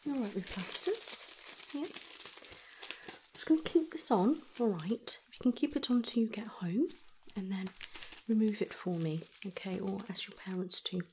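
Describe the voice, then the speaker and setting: A woman, speaking in a soft, often whispered voice, soothes and reassures as she tends a graze in an ASMR first-aid roleplay. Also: mid-range voice, normal speed, a little hiss or hum, rough recording. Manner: soothing, reassuring, gently shushing. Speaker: carer tending a child's graze.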